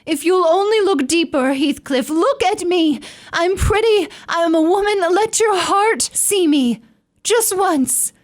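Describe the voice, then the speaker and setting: A woman acts out a young wife in a radio drama, high-voiced and slow to speak. She is lovelorn and wounded, pleading desperately with emotional intensity.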